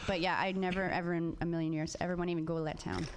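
A woman's voice: on the low side, rapid-fire. A woman chatting casually, telling stories with lots of laughing and swearing. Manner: animated storyteller, laughs and does voices in quotes. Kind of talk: casual chat. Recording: noisy background.

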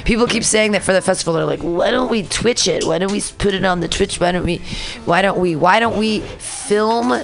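A woman's voice: mid-range voice, fairly quick, few pauses. A woman hosting a community radio show, chatting casually and telling rambling stories. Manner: chatty, rambling, animated retellings. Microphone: studio mic.

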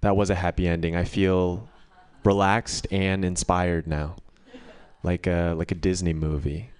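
A man's voice: sits low, level tone, a little slow, darkish tone, clear, resonant tone. A man performing a live stand-up set in a conversational, storytelling way. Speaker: stand-up comedian.

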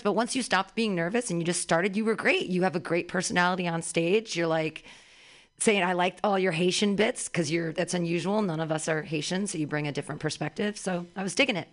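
A woman hosting and judging a comedy show, giving a comic upbeat, encouraging feedback. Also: on the low side. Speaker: show host and judge.

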